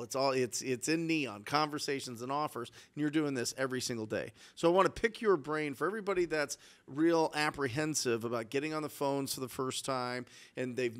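A man in his forties hosting a podcast interview, talking energetically and emphatically on a studio mic. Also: mid-range voice. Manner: energetic, emphatic, hypes the guest. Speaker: podcast host, real estate coach. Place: studio set with mountain mural. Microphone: studio mic on boom arm.

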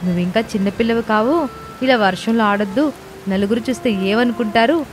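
A woman's voice: a little high, brisk, lightly acted. Sweet young village daughter woman acting, obedient, pleading, cartoon story, music and rain behind. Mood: complaining, pleading.

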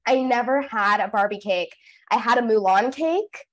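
A woman's voice: on the high side, animated, a bit bright. Bubbly young woman on a video call. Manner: bubbly, upbeat, quick to laugh. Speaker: voice actress guest. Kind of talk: casual video-call interview. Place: home room in front of blue backdrop. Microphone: webcam video call.

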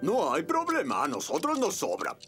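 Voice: medium pitch, huge pitch swings, normal speed. A performer voicing a cheerful, proud, exclamatory rabbit father in a cartoon. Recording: loud music behind the voice.

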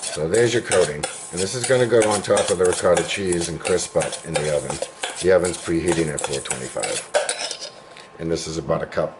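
Low-voiced man teaching home cooking. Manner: relaxed, explanatory, chatty with small asides. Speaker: home cooking show host. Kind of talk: casual cooking demonstration. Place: home kitchen. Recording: clear background kitchen noise. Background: clattering utensils and dishes.

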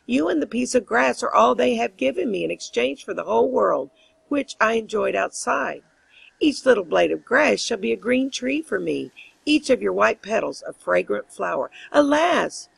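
Woman reader as storybook lark, caged and dying; mournful, gentle, very creaky, swooping intonation. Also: a little high, very animated, normal speed, dry recording, voice pushed up, exaggerated voice, poor audio. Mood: sorrowful, tender. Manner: plaintive, lamenting. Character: dying captive lark, sorrowful, gentle, lamenting.

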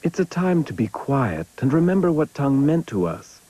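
A man voicing a quiet, solemn father cat in a TV cartoon. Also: a little high, big pitch swings, moderate pace, husky, no echo. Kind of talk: TV cartoon acting.

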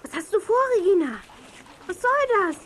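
A woman playing an excitable, doubtful young child in a children's audio play. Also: very high voice, moderate pace, slight background noise.

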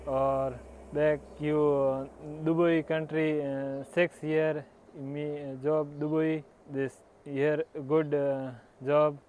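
Very slow, halting Indian man. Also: a little high, clean tone, phone-quality sound. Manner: halting, broken English. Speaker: stable worker. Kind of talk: introducing himself to camera. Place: outdoors by a horse walker, faint music behind.

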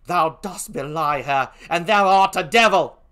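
A performer voicing an outraged, loyal and grieving gentlewoman with a put-on British accent, in theatrical Shakespearean acting. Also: mid-range voice, unhurried, natural voice. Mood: fiery, defiant. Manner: declamatory, impassioned, theatrical. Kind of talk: classical Shakespearean stage acting.

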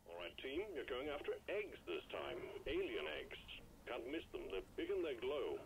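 A man playing a gruff male mission controller who barks brisk orders to a mining team in a video game. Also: medium pitch, wildly swooping pitch, a little hiss or hum, rough recording. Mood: commanding. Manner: brisk barked orders over comms.

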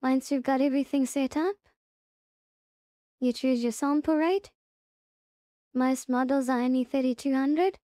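A woman presenting a casual software tutorial step by step, unhurried and thinking aloud as she goes. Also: sits high, dry recording, studio quality.

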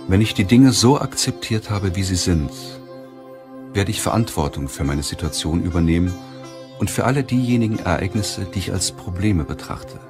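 Deep-voiced man narrating an audiobook. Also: animated, moderate pace, music in the background. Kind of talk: scripted audiobook narration of a self-help book.

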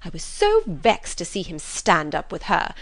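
A woman reading aloud, voicing an excitable, gushing middle-aged mother who talks in high, emphatic bursts. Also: moderate pace, full character voice. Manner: breathless, effusive, high and emphatic.

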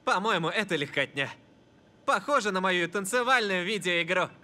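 Sharp-voiced cocky young footballer. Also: male voice, high-pitched, expressive, moderate pace, exaggerated voice. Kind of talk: animated cartoon dubbing.

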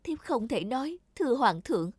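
Woman playing a soft, high-voiced young queen. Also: sing-song, moderate pace, breathy edge, no echo, studio quality. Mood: sorrowful, resolute. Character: gentle, secretive young queen.

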